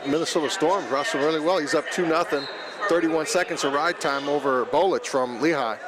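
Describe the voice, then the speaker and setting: A man's voice: a little high, a little fast, over a noisy crowd, poor audio. A man giving conversational color commentary on live wrestling for radio, in short, informal observations. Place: large indoor sports arena. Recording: clear gym noise behind voice. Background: noise of a wrestling tournament.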